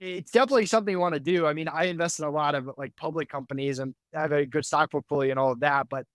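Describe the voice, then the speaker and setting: A man in his thirties, a candid entrepreneur guest, speaks in a fairly high voice. He talks quickly and loosely, with many fillers. The sound is dry and close to the mic. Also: fairly bright tone, no room sound. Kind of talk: conversational interview.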